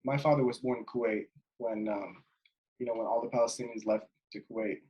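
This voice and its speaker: A man in his twenties from Jordan, a basketball player chatting casually as an interview guest over a webcam call from his bedroom, relaxed and rambling with lots of fillers and restarts. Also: medium pitch, brisk, muffled recording. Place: bedroom at home, indoors. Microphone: webcam video call.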